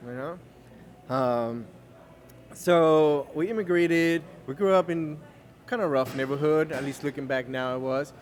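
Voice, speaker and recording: A man's voice: fairly high pitch, measured pace. Cuban-born man telling his story. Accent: American English, no Cuban accent. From Cuba. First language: Spanish. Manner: relaxed storyteller, many fillers and restarts. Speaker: guest. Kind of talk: casual interview chat.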